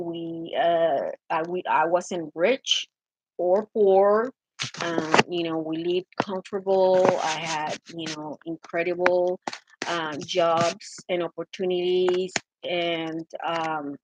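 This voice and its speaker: Slow-talking Venezuelan woman, hesitant. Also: lowish voice, background noise. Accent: Venezuelan Spanish. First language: Spanish. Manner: hesitant, many fillers and restarts. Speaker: interviewee. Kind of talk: personal account of her country.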